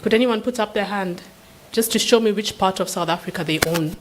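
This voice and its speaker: A woman's voice: medium pitch, brisk, background noise. A woman speaking as a seminar panelist, giving an unscripted answer in an earnest, questioning way with frequent restarts. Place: seminar room.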